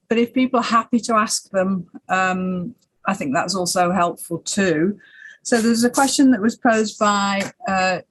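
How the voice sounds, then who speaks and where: A woman chairing an online academic seminar, her voice recorded close and dry. She speaks gently and a little haltingly, encouraging people to join the discussion. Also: fairly high pitch, no room sound, poor audio. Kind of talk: moderating an online Q&A. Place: online seminar, video call.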